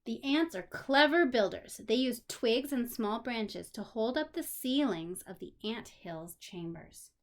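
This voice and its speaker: A woman teacher reading aloud to young children in an expressive manner, pausing for simple explanations and questions. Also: on the high side. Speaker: special education teacher.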